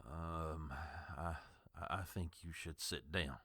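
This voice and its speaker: An actor playing a flustered, formal older doctor in an audio drama, hesitant and stammering. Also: male voice, rumbling low, steady tone, takes it slowly, pushed and bright, dry recording, studio quality, exaggerated voice.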